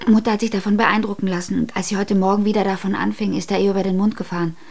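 A woman's voice: medium pitch, flat delivery, rapid-fire, gravelly, a little mellow. An actress playing a blunt, restless young woman in a radio drama, direct and insistent in the way she talks. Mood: defensive. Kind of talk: German radio drama acting.

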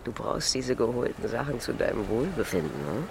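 Volatile, teasing woman with swooping pitch. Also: very animated, brisk, gravelly, noisy background, exaggerated voice. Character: teasing, passionate female demimonde swindler. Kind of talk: literary radio drama acting.

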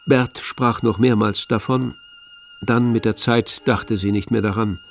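A man's voice: fairly low pitch, steady tone, a little slow, vocal fry. A man reading scripted literary narration for a radio play. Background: faint string music.

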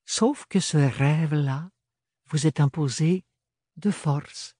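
A man's voice: a little high, takes it slowly, dark tone, slightly rough, no echo, clean studio sound, pitched above the performer's own voice. A man voicing a tired, elegant noblewoman who speaks in a honeyed, mocking way. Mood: languid. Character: weary elegant noblewoman, sly and languid.

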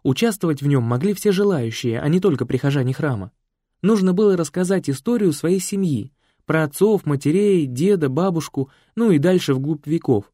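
A man's voice: a little high, moderate pace, dry recording, studio quality, pitched above the performer's own voice. A man reading an audiobook, voicing a chatty, kindly village woman, the daughter of a priest. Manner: talkative and animated. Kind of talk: audiobook character voice.

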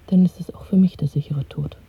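An actress playing a quiet, anxious young woman, the lover of a rebel, in a hushed radio drama scene. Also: lowish voice, fairly even intonation, a little fast, vocal fry, husky, exaggerated voice. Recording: muffled, dry 1970s radio drama recording.

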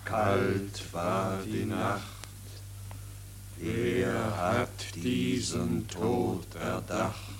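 Slow, mournful dead soldier. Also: male voice, lowish voice, a loud crowd. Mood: mournful, accusing. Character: mournful, accusing dead soldier frozen in the trenches. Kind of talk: slow solemn verse in radio drama.

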